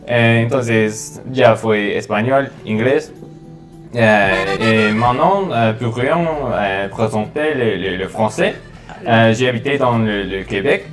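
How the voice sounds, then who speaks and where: A young man from the United States with an American accent, a podcast guest talking in a halting way with many repeated words and fillers. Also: fairly low pitch, soft background music. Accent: American English. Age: 20s. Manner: hesitant, many repeated words and fillers while switching languages. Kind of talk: casual podcast chat. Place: small living room studio.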